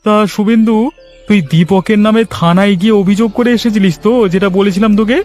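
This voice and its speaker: A man's voice: high-pitched, steady tone, fast, a little mellow, soft background music, natural voice. A man playing an envious, scheming village idler in a cartoon, with a chatty, conniving manner. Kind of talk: Bengali cartoon story acting.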